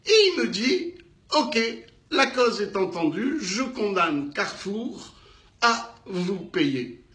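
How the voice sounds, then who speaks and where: A man with a North African French accent telling a business anecdote in an animated way, acting out the dialogue with emphatic repetitions. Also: high voice, slow, echoey room. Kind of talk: animated storytelling.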